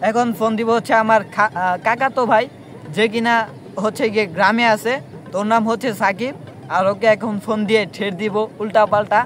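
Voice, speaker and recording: High-pitched man, casual prank-call vlogger. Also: steady tone, a little fast, fairly bright tone, a little hiss or hum. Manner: addresses viewers directly, informal. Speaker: vlogger making prank calls. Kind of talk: casual vlog chat and phone pranks.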